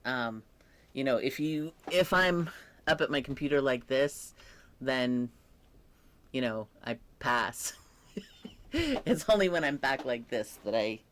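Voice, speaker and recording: Young man, unhurried and reflective, voice that sometimes croaks, casual vlog talk. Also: mid-range voice, fairly bright tone. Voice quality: occasionally croaky voice. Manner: slow, thoughtful, with many pauses and fillers. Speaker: vlogger. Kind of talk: casual personal update.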